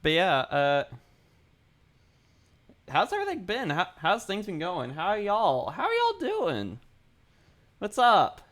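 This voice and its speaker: A young man streaming while he draws, chatting casually with his viewers, his throat dry and sore and his voice a little deeper than usual. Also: wildly swooping pitch, slow, pauses often, a bit bright. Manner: rambling, chatty, greets chat, repeats himself. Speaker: art livestreamer. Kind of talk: casual livestream chat.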